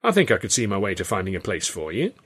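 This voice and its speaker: A man's voice: low voice, sing-song, fast talker, fairly bright tone, slightly rough, full character voice. Man acting sly older theatre boss. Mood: scheming, bargaining. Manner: wheedling, pointed, colloquial bargaining. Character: sly, overbearing older male theatre boss, falsely genial.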